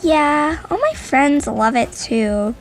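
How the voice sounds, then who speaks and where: A woman's voice: high-pitched, big pitch swings, takes it slowly, faint music behind, exaggerated voice. A woman voicing a moody teenage girl who is a goth outsider, talking in a blunt, earnest, defensive way. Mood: sulky. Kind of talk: audio drama acting.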